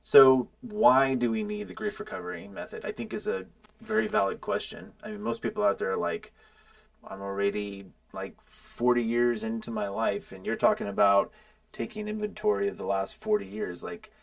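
A man's voice: on the low side, normal speed, gravelly. A man in his forties co-hosting a podcast, talking casually and acting out what listeners might say. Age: 40s. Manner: animated, restarts sentences, acts out quoted listeners. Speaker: podcast co-host. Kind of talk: casual conversational discussion.

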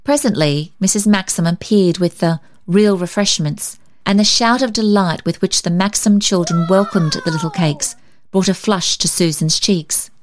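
Woman reading a story, slightly low voice. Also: normal speed. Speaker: story reader. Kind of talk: expressive scripted storybook narration.

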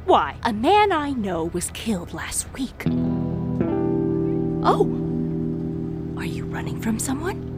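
A woman's voice: fairly high pitch, huge pitch swings, takes it slowly, long pauses, exaggerated voice. An actress playing a sly, conspiratorial woman storyteller in an audio drama, speaking theatrically and teasingly straight to the listener. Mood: furtive, conspiratorial. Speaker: narrator character of an audio drama. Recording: music playing behind the voice.

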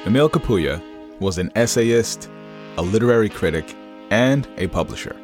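Male podcast host, low pitch, informal delivery, music behind. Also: animated, moderate pace, darkish tone. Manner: informal, slangy. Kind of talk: casual banter and scripted narration.

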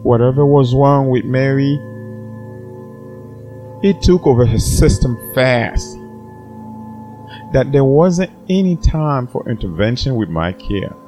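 Man acting grave male doctor, slow, formal, apologetic, audio drama, faint music behind. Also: medium pitch, animated, mellow. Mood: somber, apologetic.

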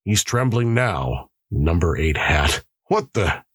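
A man's voice: low voice, sing-song, slow pace, a bit bright, husky, no room sound, clean studio sound, voice pushed down, heavily acted. Man playing a stern, puzzled prison warden. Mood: baffled. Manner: curt, probing questions. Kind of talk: audiobook character acting.

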